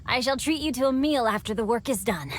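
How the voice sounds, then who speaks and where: A woman's voice: on the high side, lively intonation, fairly quick, a little hiss or hum, natural voice. A woman voicing an eloquent, playful young noble swordsman in a game cutscene, formal and teasing.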